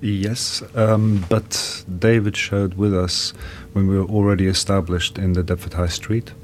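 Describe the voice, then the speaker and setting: A man from a gallery explains things in a measured way. His voice is low and quite flat. A faint rumbling noise sits behind him. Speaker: gallery director. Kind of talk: casual interview talk.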